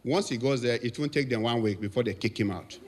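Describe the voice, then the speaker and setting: Nigerian priest telling stories. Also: male voice, mid-range voice, fast talker, heavy background noise. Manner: storytelling, laughs often, lively quotes. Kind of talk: anniversary thank-you speech.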